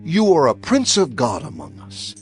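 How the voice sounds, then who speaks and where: A man's voice: medium pitch, wildly swooping pitch, moderate pace, faint music behind. An actor playing a respectful spokesman of an ancient people in a dramatized scripture recording, declaiming with deference. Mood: respectful, magnanimous. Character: respectful male Hittite elder speaking for his people. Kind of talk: dramatized scripture audio acting.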